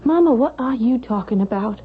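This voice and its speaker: Casting: a woman as an earnest young wife and devoted daughter, high, very animated voice, emotional old-time radio drama. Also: fairly quick, close to an everyday voice. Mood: dismayed, protesting. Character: loyal, anxious grown daughter, affectionate young wife. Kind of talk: vintage radio drama acting. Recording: dull vintage radio broadcast, faint noise.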